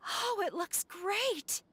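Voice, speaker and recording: A woman's voice: very high-pitched, sharp tone, exaggerated voice. A woman voicing a chatty young woman shopping in a video game, musing and lilting.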